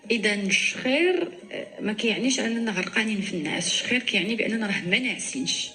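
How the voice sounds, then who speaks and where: A woman's voice: medium pitch, animated, very fast, pushed and bright, low-quality recording. A woman with a Moroccan accent hosting a radio call-in show, reading out listeners' questions to a doctor. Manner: reads out listener questions, short backchannels. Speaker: radio host.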